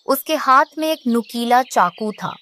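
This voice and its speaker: Casting: female story narrator, slightly high pitch, scripted delivery. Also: expressive, unhurried, pushed and bright.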